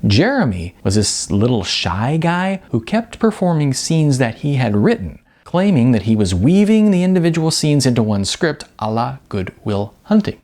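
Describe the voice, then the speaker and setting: A man's voice: mid-range voice, very animated, moderate pace, a little mellow. A man reading his own novel aloud in audiobook style, with a wry manner. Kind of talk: audiobook-style narration of fiction.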